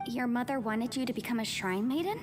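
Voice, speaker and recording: A woman's voice: on the high side, lively intonation, a little fast, music in the background, close to an everyday voice. A woman playing an earnest, polite young legal advisor in a video game, thoughtful and articulate. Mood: encouraging.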